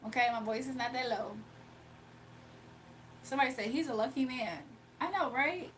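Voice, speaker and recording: A woman's voice: high-pitched, moderate pace, a bit bright, a little hiss or hum. A woman chatting casually on a live stream from her phone, reading viewer comments aloud and laughing often. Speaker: livestreamer.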